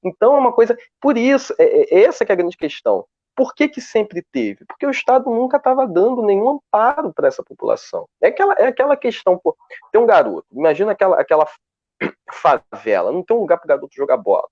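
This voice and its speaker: A man speaking on a live video call, talking in a lively way with many restarts as he searches for words. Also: sits high, fast talker, no echo. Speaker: guest researcher. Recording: dull, dry lo-fi call audio.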